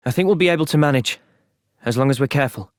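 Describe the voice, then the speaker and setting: A man voicing an earnest, thoughtful young fighter in a video game, measured and sincere but capable of impassioned intensity. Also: medium pitch, dry recording, clean studio sound, close to an everyday voice. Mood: thoughtful, steady. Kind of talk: video game cutscene voice acting.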